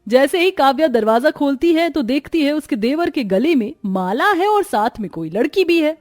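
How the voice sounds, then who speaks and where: A woman narrates a family moral story from a script. Her voice is fairly high in pitch. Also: lively intonation, moderate pace, darkish tone, no echo, studio quality, faint music behind.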